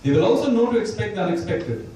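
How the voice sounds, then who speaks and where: A man's voice: high voice, animated, warm tone, recorded from a distance, a little hiss or hum. Man speaking in a very echoey room. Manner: thoughtful, with pauses and restarts. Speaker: author at a book launch. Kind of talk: talk and reading from his book. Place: bookstore event room, indoors. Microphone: distant mic or none.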